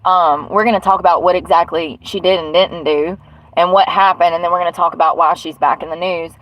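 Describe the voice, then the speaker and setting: A woman's voice: on the low side, fairly even intonation, fairly quick, a bit bright, rough recording. Stuffy-nosed woman chatting from her truck. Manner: rambling, blunt, swears casually. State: stuffy nose. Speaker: livestream host. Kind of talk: casual livestream chat.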